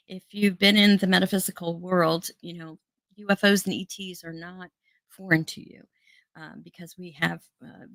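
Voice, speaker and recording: A woman's voice: fairly low pitch, fairly bright tone, no room sound. An American woman hosting an online show, talking casually and earnestly to her viewers, with frequent fillers and restarts. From United States. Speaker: host.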